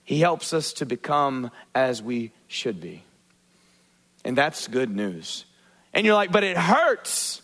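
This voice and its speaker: A male pastor preaching a sermon, asking the congregation questions and acting out quotes in lively voices. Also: medium pitch, takes it slowly. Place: church.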